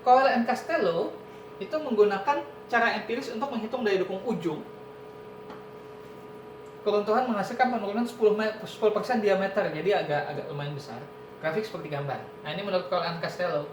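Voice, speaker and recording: Male lecturer, step-by-step explanation, roomy echo, background hiss and rumble. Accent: Indonesian. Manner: explains step by step, often says "nah" and "ini". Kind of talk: online university lecture. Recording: echoey room with noise and rumble.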